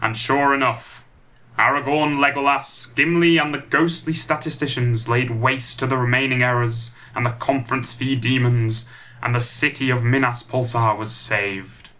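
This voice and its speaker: A man delivers scripted pantomime narration. His mid-pitched voice is bright and forceful, phrased deliberately like dramatic storytelling. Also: steady tone.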